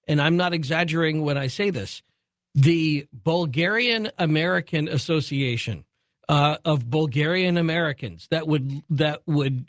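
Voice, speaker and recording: A man hosting a call-in radio show, talking briskly and encouragingly as he hurries to fit in callers. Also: on the high side, no echo, clean studio sound. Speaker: radio talk show host.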